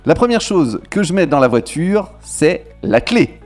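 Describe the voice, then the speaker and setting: A man with a cold hosting a radio game, animated and playful, with hesitant fillers. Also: on the high side, a little slow. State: has a cold. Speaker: game host. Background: faint music.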